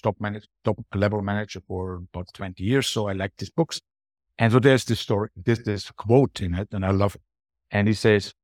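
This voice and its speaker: Low-voiced Austrian man, dry close sound. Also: darkish tone, dry recording. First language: German. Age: middle-aged or older. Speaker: podcast guest, booking strategist. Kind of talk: conversational interview answers.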